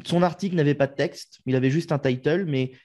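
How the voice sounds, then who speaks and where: Male podcast host, laid-back conversational delivery, warm low timbre, casual interview. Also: brisk. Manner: relaxed, chatty, trails off with "quoi".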